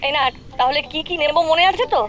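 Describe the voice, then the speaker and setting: A woman's voice: high-pitched, fast talker, slight background noise. A woman voicing a mischievous, teasing ten-year-old boy in a cartoon, cheeky and theatrical. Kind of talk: animated cartoon comedy. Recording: dull lo-fi, loud music behind.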